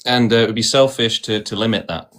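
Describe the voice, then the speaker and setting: Man, fairly low voice, host arguing hard with guests, keeps saying right. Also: steady tone, quick pace, a bit bright. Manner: insistent, repeats "right?". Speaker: host of a debate stream. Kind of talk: argumentative debate.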